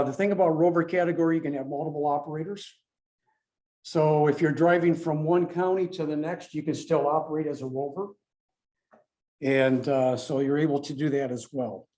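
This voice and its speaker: A man giving an informal explanatory presentation as a club speaker, in a halting manner with repeats and self-corrections. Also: on the high side, fairly even intonation, darkish tone. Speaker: club presenter explaining radio contest rules. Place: club meeting room with a few people present.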